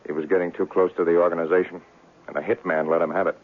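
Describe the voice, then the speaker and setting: Hard-boiled noir detective, low voice, cynical and clipped, muffled old radio broadcast. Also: male voice, level tone, quick pace. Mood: hard-boiled, narrating. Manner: clipped slangy tough-guy talk. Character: tough hard-boiled male private investigator, cynical and cocky. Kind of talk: 1970s radio drama acting, noir narration.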